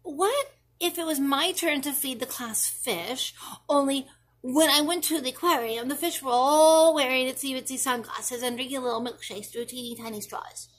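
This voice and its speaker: A woman's voice: sits high, normal speed. A woman voicing an anxious, imaginative little schoolboy who rambles through what-if questions in a children's storybook. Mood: eager, wondering. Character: anxious, imaginative little school-age boy pestering his mother. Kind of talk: expressive children's storybook reading.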